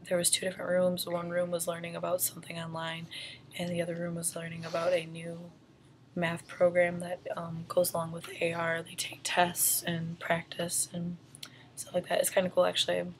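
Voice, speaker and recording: A young woman with a low, gravelly voice and almost no rise or fall in her pitch. She talks through her week as a student teacher in a steady, matter-of-fact way, with the odd "um" along the way. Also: moderate pace, slight background noise. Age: young adult. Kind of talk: casual chat.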